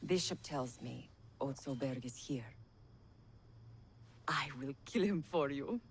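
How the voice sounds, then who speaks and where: A woman voicing a blunt, fearless assassin with formal, deadpan phrasing in a video game cutscene. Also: a bass voice, slow, a little hiss or hum, full character voice. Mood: blunt, amused.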